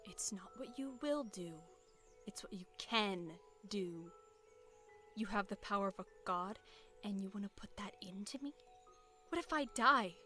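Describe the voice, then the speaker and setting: A woman's voice: medium pitch, lively intonation, unhurried, pushed and bright, soft background music. A woman playing a guarded young adventurer in an audio drama, speaking plainly and casually. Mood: anxious.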